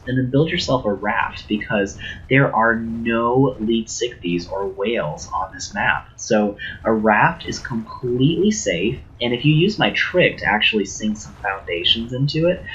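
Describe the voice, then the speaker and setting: Man game streamer, a bit sick, casual and eager with his viewers, lowish voice, dull lo-fi sound. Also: fairly bright tone. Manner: casual, enthusiastic, chatty with viewers. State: a little sick. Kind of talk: live stream game tour with chat.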